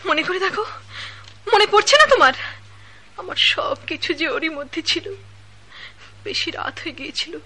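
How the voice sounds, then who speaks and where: A woman's voice: sits high, sharp tone, exaggerated voice. An actress playing a poor young woman who pleads, hesitant and desperate, for her money back. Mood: insistent. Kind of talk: radio drama acting.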